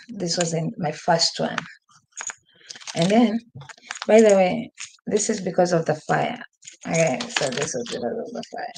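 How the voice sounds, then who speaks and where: A woman's voice: medium pitch, vocal fry, noisy background. A woman hosting a casual live stream from home, chatty and rambling with frequent laughs, saying she is losing her voice. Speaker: podcast host. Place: newly moved-into home, desk by a window. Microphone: computer microphone.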